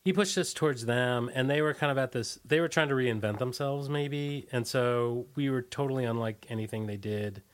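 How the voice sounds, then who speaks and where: A man speaking as an interview guest, casually recollecting in a loose, halting way and often trailing off. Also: medium pitch.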